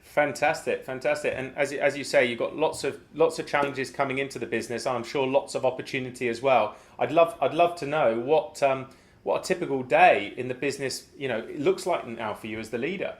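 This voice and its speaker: A man's voice: medium pitch, fairly quick. A man hosting a podcast interview, encouraging and given to repeating words as he asks questions. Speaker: podcast host.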